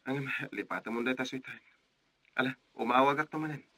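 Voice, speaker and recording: An actor playing an attentive sweetheart calling from abroad in an Ilocano radio drama. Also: male voice, medium pitch, fairly quick, pushed and bright, dry recording. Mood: reassuring.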